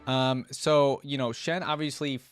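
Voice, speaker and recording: A man's voice: mid-range voice, steady tone, measured pace, clear, resonant tone, faint music behind. A man giving casual reaction commentary, musing aloud with curiosity as host.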